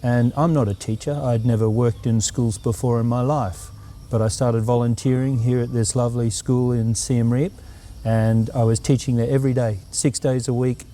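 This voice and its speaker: A man's voice: medium pitch, little pitch movement, moderate pace, few pauses, mellow, a little hiss or hum. A middle-aged man with an Australian accent, answering interview questions in an earnest, reflective way. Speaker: guest.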